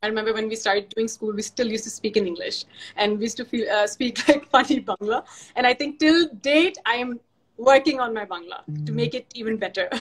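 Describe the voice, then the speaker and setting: A woman's voice: fairly high pitch, fairly quick, slightly rough, rough recording. A woman, chatting as an interview guest, laughing often and restarting her sentences. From Pakistan. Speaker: interview guest, fashion designer. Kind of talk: casual video call interview.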